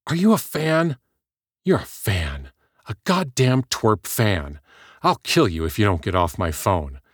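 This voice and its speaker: A man voicing a hostile, suspicious famous woman who talks harshly and briskly. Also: lowish voice, sing-song, husky, no echo, clean studio sound, exaggerated voice.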